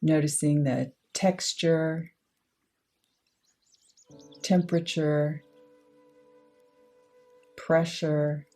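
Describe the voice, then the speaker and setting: Low-pitched woman guiding a slow meditation. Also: flat delivery, dark tone, soft background music. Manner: unhurried, measured short phrases with pauses. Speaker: meditation guide. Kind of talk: guided meditation, slow soothing instruction.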